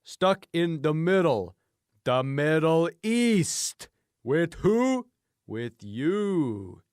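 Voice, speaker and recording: A man playing a self-assured crackpot conspiracy theorist, explaining emphatically and deliberately in an improvised radio comedy interview. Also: a little high, very animated, darkish tone, clear, resonant tone, no room sound, clean studio sound, exaggerated voice. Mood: smug, revelatory.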